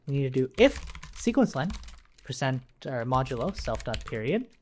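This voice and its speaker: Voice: medium pitch, a little mellow. An instructor giving an informal live coding tutorial, thinking aloud while typing and often checking in with the listener, with keyboard sounds behind. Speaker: programming instructor. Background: computer keyboard typing.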